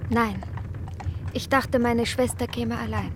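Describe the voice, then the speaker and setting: A high-voiced pious convent girl. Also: steady tone, slow. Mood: shocked, stiff. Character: pious, timid seventeen-year-old convent girl, disapproving. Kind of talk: German radio drama acting. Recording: noisy radio play recording.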